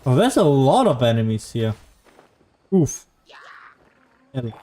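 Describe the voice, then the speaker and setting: A man's voice: mid-range voice, big pitch swings, slow, dark tone. A man giving casual, sarcastic commentary as he plays a video game. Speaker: gaming streamer. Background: faint game music.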